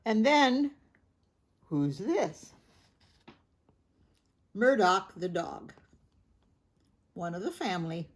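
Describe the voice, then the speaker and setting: A woman reading a picture book aloud to children, gently chatting and stopping to ask the listener questions. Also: mid-range voice, expressive, very slow, pauses often. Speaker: storybook reader.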